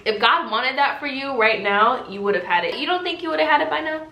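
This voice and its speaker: A young woman in her twenties with a bright, higher-pitched voice, chatting through a faith Q&A. She is talkative and animated and calls herself loud. She often presses the listener with rhetorical questions, and her voice rings in a roomy, echoey space. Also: a little fast. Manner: talkative and animated, self-described loud yappy voice; exhorting, rhetorical questions to viewers. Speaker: faith vlogger answering viewer questions. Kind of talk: casual faith chat, preachy Q&A. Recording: echoey room.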